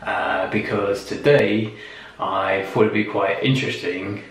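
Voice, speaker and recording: A man hosts a casual interview at a slow, halting pace. His voice is gravelly, with plenty of fry. The room rings heavily around his informal questions. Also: fairly low pitch, fairly even intonation. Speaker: interviewer and video host. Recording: echoey room, distant mic, faint noise.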